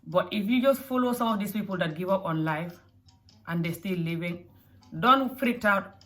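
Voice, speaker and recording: A woman's voice: medium pitch, moderate pace. A mother with a mixed African and Scottish accent, speaking in an earnest motivational talk to camera and often repeating phrases. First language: not English. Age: adult mother. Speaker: vlogger.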